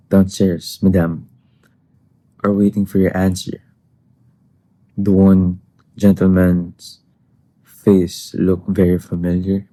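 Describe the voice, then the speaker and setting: A man playing a formal, deferential household butler in a stage comedy, speaking in a measured, halting way. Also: low voice, warm tone, no echo, exaggerated voice, rough recording. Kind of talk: comedic stage-play acting.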